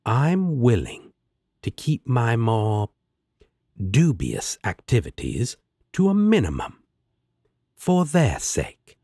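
A man's voice: mid-range voice, warm tone, husky, dry recording, studio quality, exaggerated voice. A man playing a sly, laid-back demon roommate in an audio drama, teasing and sardonic with a coaxing drawl. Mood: placating. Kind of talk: roleplay audio drama acting.

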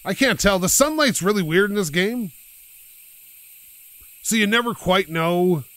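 A man hosting a driving game playthrough, pushing his voice out loud and energetic as he rambles and pokes fun at himself from his couch. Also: high-pitched, measured pace, dry recording. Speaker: gaming channel host. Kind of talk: casual let's-play commentary. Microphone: home microphone while playing on a couch.

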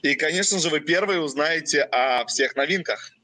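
A young man from Russia leads a company webinar, pausing after each short phrase for his interpreter. His voice sits high for a man and sounds bright and forward. The sound is close and dry, with no room around it. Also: dry recording, rough recording. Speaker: company president. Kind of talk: webinar presentation.

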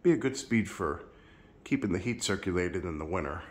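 A man filming a casual home vlog in his sunroom, a little nasal and stuffed up from a sinus infection, talking in a relaxed, rambling, friendly way. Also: deep voice, a little fast. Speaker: ceiling fan collector vlogger. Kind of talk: casual show-and-tell vlog.

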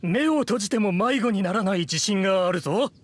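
An actor playing a blustering, boastful and evasive adult male adventurer in a game, with a husky voice. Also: high-pitched, lively intonation, fairly quick. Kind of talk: Japanese game character acting.

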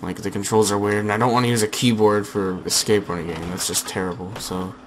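A slightly hoarse young man with a lowish voice rambles over a game, full of "like" and half-finished restarts. He talks through a headset at home, with faint hiss and game ambience behind him. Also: level tone, fast. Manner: rambling, filler-heavy chatter with frequent restarts. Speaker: gaming channel host. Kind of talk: casual gameplay commentary.

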